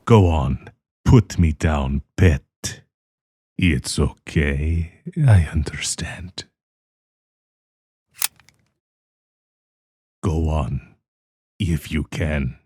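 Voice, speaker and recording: Man voicing a very deep, husky predatory werewolf professor. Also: warm tone, no echo, clean studio sound, heavily acted. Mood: taunting, tender. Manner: slow, coaxing, menacing endearments. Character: sinister, possessive man-eating werewolf professor, tender and predatory. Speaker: voice actor in an audio roleplay. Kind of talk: intimate dramatic audio roleplay.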